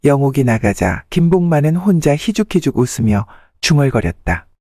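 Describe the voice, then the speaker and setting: A man's voice: mid-range voice, sing-song, moderate pace, darkish tone, no room sound, studio quality. A man narrating a folktale from a script as the storyteller.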